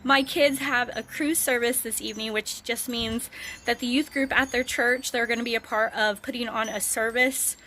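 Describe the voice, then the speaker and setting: A hoarse woman chats through her daily vlog, her voice sitting a little high. She wanders from thought to thought with lots of ums. It is a noisy phone recording. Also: fairly even intonation, normal speed, sharp tone. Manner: chatty, rambling with many ums. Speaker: vlogger. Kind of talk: casual daily vlog to camera. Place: outdoors on a rural road, wind.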